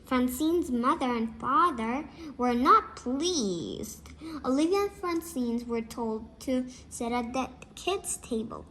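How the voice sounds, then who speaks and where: A girl of about eight reads a storybook aloud, carefully and a little haltingly. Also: high voice, animated, fairly bright tone, slight background noise, low-quality recording. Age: child, about 8. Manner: halting, careful reading with slips.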